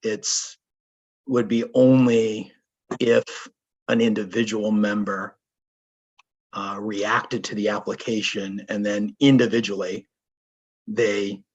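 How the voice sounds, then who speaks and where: Hoarse man questioning at a remote meeting. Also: on the low side, fairly even intonation. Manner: deliberate, probing questions with restarts. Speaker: resident commenting at public hearing. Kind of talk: remote public meeting comment. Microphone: video call. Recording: dull lo-fi video call audio.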